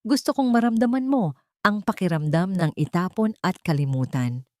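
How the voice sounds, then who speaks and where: A woman's voice: fairly low pitch, lively intonation, moderate pace, dry recording, studio quality, lightly acted. A woman voicing a bitter, vengeful man, a cold and taunting villain in an audio drama. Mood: bitter anger. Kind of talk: melodramatic audio drama acting.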